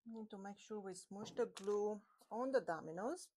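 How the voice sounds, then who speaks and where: A woman's voice: medium pitch, moderate pace, warm tone, slight background noise. A woman in her forties hosting a woodworking tutorial, chatting through each step while she works with her hands, recorded on a clip-on mic in a home workshop. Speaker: woodworking channel host. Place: home woodworking shop with open garage door. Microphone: small clip-on mic on her shirt.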